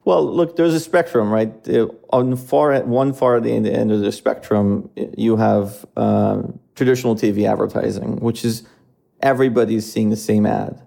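A man in his thirties who grew up in Iran, answering interview questions in a measured way with frequent fillers. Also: on the low side, steady tone, creaky, mellow. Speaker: streaming company executive.

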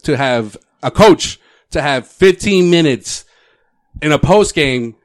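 A man's voice: a little high, animated, takes it slowly. A man co-hosting a podcast, chatting casually about basketball, repeating himself and swearing as he argues. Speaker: podcast co-host. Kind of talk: casual sports chat.

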